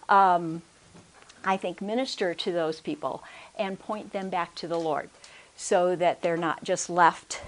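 A soft-spoken woman giving a conference workshop talk into a microphone, hesitant, with many restarts and check-ins with the audience. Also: medium pitch. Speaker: workshop speaker. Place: conference room. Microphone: handheld or stand microphone.

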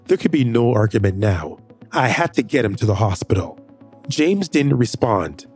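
Expressive male audio drama narrator. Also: moderate pace, faint music behind. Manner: expressive, rising pitch in dialogue.

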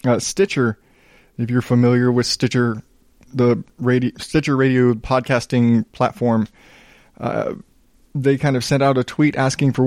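A man in his thirties hosting his own podcast, rambling casually in a calm, smooth voice and poking fun at himself. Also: medium pitch, dry recording, clean studio sound. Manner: rambling, self-deprecating, reads reviews aloud. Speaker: podcast host. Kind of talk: casual solo podcast monologue.

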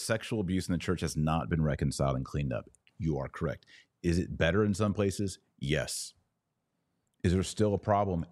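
Casting: male podcast host, very low voice, close dry sound, emphatic religious commentary delivered live. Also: darkish tone, no echo. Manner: emphatic and preachy, with short declarative repeats.

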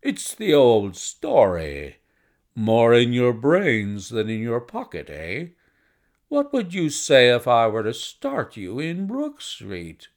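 A male reader acts out a blunt, wheedling gentleman with money to invest, in storybook style. The delivery is emphatic and slowly stretched, with a gravelly creak and big swings in pitch. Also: huge pitch swings, full character voice. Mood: blunt, probing. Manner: brisk, abrupt, emphatic, drawn-out stressed words. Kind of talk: audiobook character voice.

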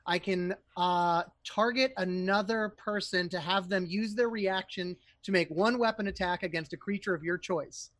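A man's voice: sits high, normal speed, few pauses, fairly bright tone, no room sound. Dry-sounding man playing a tabletop game. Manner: thinks aloud, checks rules mid-sentence. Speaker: player. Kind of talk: casual tabletop play.

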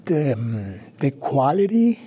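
A man from Venezuela, a native Spanish speaker with a Latin American accent, telling his recovery story haltingly in an interview. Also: mid-range voice, sing-song, warm tone, husky. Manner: halting, with frequent restarts and fillers. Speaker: interview guest. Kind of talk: personal recovery story, conversational.

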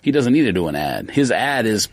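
A man's voice: fairly low pitch, very animated, a little fast, dry recording. A man co-hosting a podcast in casual chat, conversational and a bit hesitant. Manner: conversational, questioning, a little hesitant.

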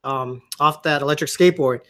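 A man with a somewhat high voice trades jokes and anecdotes. He talks in an animated, wisecracking way, with a sarcastic edge. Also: fairly quick. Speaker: podcast co-host. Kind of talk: casual comedic chat.

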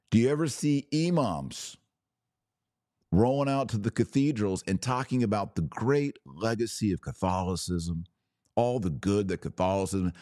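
A man hosting a podcast, giving religious commentary in a deliberate way with pauses and emphatic phrasing. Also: sits low, a little mellow, no room sound, clean studio sound. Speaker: podcast host.

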